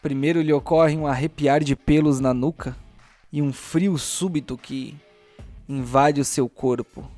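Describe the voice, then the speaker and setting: A Brazilian man narrating a tabletop game as game master, in a deliberate, theatrical storyteller's manner. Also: on the high side, steady tone, warm tone, dry recording, soft background music.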